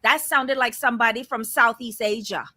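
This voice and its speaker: A woman's voice: fairly high pitch, fairly even intonation, normal speed, pushed and bright. A woman with a Barbadian accent, co-hosting a casual recap show and giving blunt, opinionated takes. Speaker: podcast co-host.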